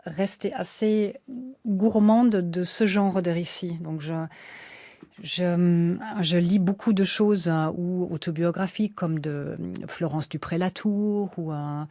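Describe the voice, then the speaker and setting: Woman guest, non-native speaker, low voice, halting and thoughtful, dry studio sound. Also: steady tone, mellow, no echo. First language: not French. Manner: hesitant, many fillers and restarts. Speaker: author and illustrator guest. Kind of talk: radio interview, reflective.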